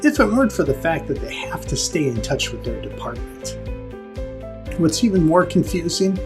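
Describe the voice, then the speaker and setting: A man delivers a how-to lesson in a conversational, instructional style. His voice sits a little high and moves with very animated intonation, leaning on key words for emphasis. A light bed of music is present with the speech. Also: normal speed.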